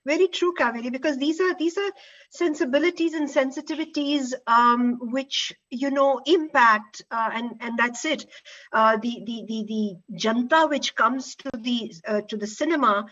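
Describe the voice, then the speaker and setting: A woman with an Indian accent moderating a panel in an unscripted, halting way, repeating words as she goes. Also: high-pitched, fairly bright tone, dull audio.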